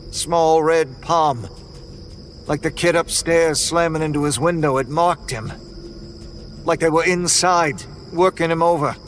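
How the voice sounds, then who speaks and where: A man telling a first-person horror story in a tense, measured way. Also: fairly high pitch, a bit bright. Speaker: narrator.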